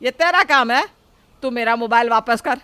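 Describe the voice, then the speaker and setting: Woman acting a high-pitched, scolding mother-in-law. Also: normal speed, smooth, no room sound. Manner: sharp, nagging, exclamatory. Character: scolding, exasperated older Indian mother-in-law.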